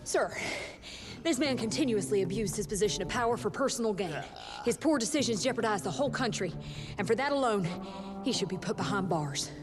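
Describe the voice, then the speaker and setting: A cold, ambitious doctor, played by a woman, who speaks quickly and accusingly. Loud music plays behind her. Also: medium pitch, animated, close to an everyday voice.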